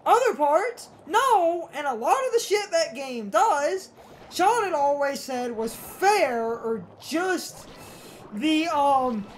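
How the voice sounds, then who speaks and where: High, swooping woman's voice chatting over games. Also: animated, slow, slight background noise. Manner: blunt, opinionated. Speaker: co-host of a let's play series. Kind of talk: casual gaming chat.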